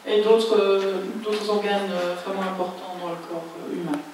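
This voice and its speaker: A woman interprets a lecture phrase by phrase, hesitating often and correcting herself. Her delivery is flat, and she is heard from a distance in a big reverberant hall with constant room noise behind. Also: mid-range voice, normal speed. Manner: hesitant, many fillers and self-corrections. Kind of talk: consecutive interpreting. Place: lecture hall at a business school. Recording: echoey lecture hall from far off.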